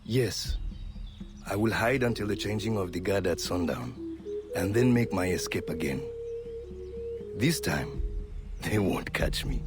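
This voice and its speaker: Earnest runaway laborer, very expressive. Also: male voice, low-pitched, a little slow, pauses often, music in the background. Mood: determined. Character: determined African man, an escaped laborer. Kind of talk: dramatic video game cutscene acting.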